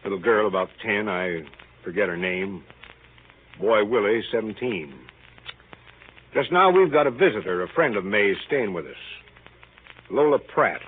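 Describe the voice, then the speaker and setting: A husky, lowish-voiced middle-aged family man, weary and dry. He talks in clipped deadpan phrases, as in an old radio play with a narrow, tinny sound. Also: unhurried, pauses often. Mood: dry, resigned. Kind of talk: 1930s radio drama monologue. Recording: muffled band-limited 1930s radio recording.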